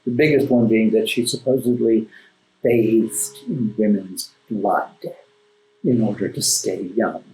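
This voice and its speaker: A man speaking as an interview guest, explaining and telling stories in a thoughtful, halting way with emphatic asides. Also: fairly low pitch, fairly even intonation, mellow, a little hiss or hum. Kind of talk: conversational storytelling and explaining in an interview. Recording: roomy echo, music underneath. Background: classical background music.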